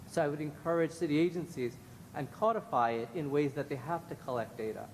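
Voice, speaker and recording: A man's voice: brisk, a little mellow. Man testifying in an echoey hall. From Guyanese background. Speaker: member of the public testifying. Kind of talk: prepared public testimony. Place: large indoor public hearing room. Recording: echoey hall, clear rumbling room noise.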